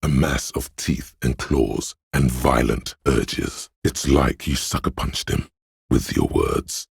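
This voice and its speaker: A menacing, paranoid inner voice of raw survival instinct from a video game. It is extremely low and badly husky, goading the listener with profane, ominous warnings. The delivery is slow and deliberate. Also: male voice, no room sound, clean studio sound, exaggerated voice. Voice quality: deep, gravelly, husky rasp. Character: menacing, paranoid primal fight-or-flight instinct speaking inside a detective's head. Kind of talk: dramatic video game voice acting, second-person inner voice.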